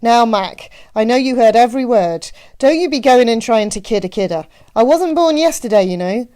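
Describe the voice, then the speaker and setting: A woman's voice: on the high side, lively intonation, normal speed, mellow, no echo, voice pushed up, lightly acted. A woman voicing a matronly, teasing small-town postmistress with a Midwestern accent and a chatty, folksy manner in an audiobook. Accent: Midwestern American. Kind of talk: audiobook character voice.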